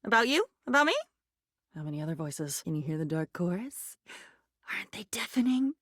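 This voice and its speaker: A woman's voice: lowish voice, very animated, fairly quick, fairly bright tone, no room sound, clean studio sound. A woman playing an anxious, insecure living diary in a video game, morbid and erratic, with fragmented, theatrical delivery that veers between muttering and outbursts. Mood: uneasy, brooding.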